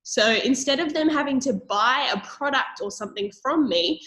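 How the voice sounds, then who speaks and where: Young Australian woman, slightly high voice, chatty persuasive presenter pitching to a call audience. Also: normal speed, pushed and bright. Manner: upbeat, persuasive, with frequent fillers and little laughs. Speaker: network marketing presenter.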